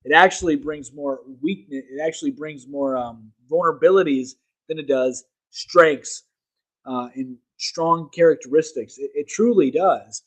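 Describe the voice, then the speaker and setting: Male livestream host, slightly high voice, forceful rambling delivery with frequent restarts. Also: moderate pace, rough recording. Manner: emphatic, rambling, self-correcting; reads articles aloud to viewers. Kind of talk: casual opinion livestream.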